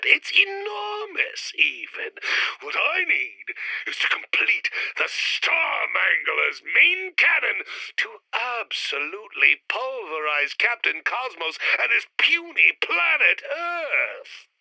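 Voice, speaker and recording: An actor playing a pompous, irritable mad-scientist villain in a retro sci-fi radio play, declaiming grandly and melodramatically. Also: male voice, high-pitched, huge pitch swings, slow pace, gravelly, pushed and bright, no echo, full character voice, rough recording. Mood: exasperated, grandiose.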